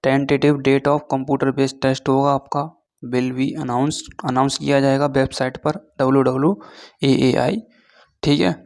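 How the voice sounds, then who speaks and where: A man giving an informal explainer lesson as an online teacher, reading through points haltingly and often checking in with short tag words. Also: medium pitch, fairly even intonation, darkish tone. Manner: switches between Hindi and halting read-out English.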